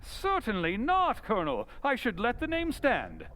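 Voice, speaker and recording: An actor playing a keen, self-assured English detective in old-time radio drama style, courteous, theatrical and inquisitive. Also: male voice, very high voice, huge pitch swings, measured pace, a bit bright, a little hiss or hum, heavily acted. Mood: brisk, confident.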